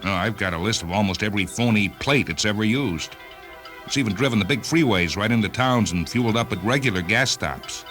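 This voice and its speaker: A driven man out for revenge, low-pitched and sharp-edged. He talks intensely and emphatically, catching on his words, in a radio play with light music beneath. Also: expressive, a little fast. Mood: grim, intent. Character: driven, vengeful male hunter of rogue cars. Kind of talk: radio drama acting.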